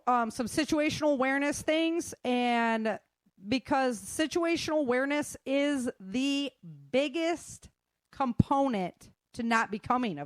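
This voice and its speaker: A woman's voice: sits high, no echo. A middle-aged woman talking casually and frankly, giving emphatic, informal advice as an instructor. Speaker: self-defense instructor and guest.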